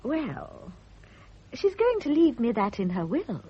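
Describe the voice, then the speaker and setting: A gossipy middle-aged nurse in a radio play, very husky and breathy, swooping wildly up and down as she insinuates and patronises. The old recording is dull and muffled. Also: a little high, sing-song, slow, exaggerated voice. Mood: smug, patronising. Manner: insinuating, knowing, condescending. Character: gossipy, self-satisfied veteran private nurse, a woman. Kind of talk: radio drama acting.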